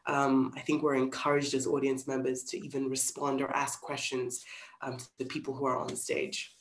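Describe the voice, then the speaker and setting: A woman's voice: very deep voice, level tone, moderate pace, a little hiss or hum. A woman in her thirties from Zambia, an actor giving thoughtful interview answers over a video call. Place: small plain-walled room indoors. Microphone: computer mic on video call. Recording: roomy, echoey video call.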